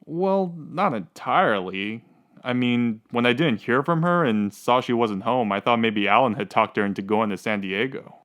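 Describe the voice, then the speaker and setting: A man's voice: medium pitch, expressive, moderate pace, a bit bright, close to an everyday voice. A man playing a dejected, lovesick suspect under questioning in a radio drama, plainspoken and unsure. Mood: hesitant.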